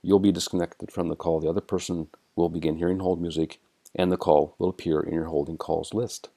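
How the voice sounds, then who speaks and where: American man, dark low voice, tutorial narration, methodical step-by-step delivery. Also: flat delivery, brisk. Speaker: software tutorial presenter. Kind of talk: instructional screencast narration.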